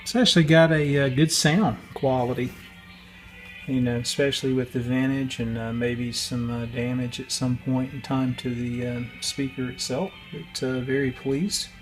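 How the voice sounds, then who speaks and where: A man's voice: mid-range voice, fairly even intonation, normal speed, soft background music. A man giving a casual, unscripted workbench walkthrough in a hesitant, matter-of-fact way. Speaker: radio restorer and video host.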